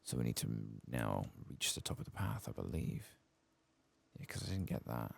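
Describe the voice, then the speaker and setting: A soft-spoken man with an extremely low, husky voice murmurs through ASMR game commentary. His delivery is hushed and unhurried, with heavy creak on the words. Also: big pitch swings. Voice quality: very deep, gravelly, husky. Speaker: game streamer, ASMR host.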